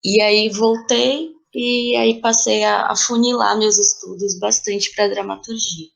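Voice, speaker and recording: A woman's voice: mid-range voice, steady tone, measured pace, gravelly. A woman with a Brazilian accent speaking reflectively and conversationally as a playwright being interviewed. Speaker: playwright guest.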